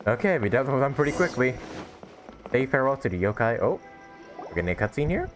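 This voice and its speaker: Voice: mid-range voice, sing-song, quick pace. A gamer giving casual, reactive playthrough commentary in amused asides, with game music behind the voice. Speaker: gaming video creator playing and reacting.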